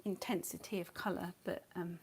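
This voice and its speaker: A woman's voice: lowish voice, steady tone, moderate pace, breathy edge. A woman losing her voice, teaching watercolour painting live in a casual, rambling way and often muttering to herself as she works. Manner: chatty, rambling, thinks aloud, often mutters under her breath.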